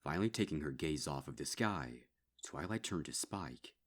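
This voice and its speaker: A man reading a dark horror story as a dramatic scripted narration. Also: extremely low pitch, lively intonation, normal speed. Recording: music behind.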